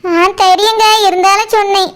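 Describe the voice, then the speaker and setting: A woman's voice: extremely high pitch, little pitch movement, fairly quick. A woman voicing a bossy, caring young village sister-in-law in a cartoon, chatty and instructing, with a Kanyakumari Tamil accent. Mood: caring, insistent. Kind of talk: cartoon village drama dialogue.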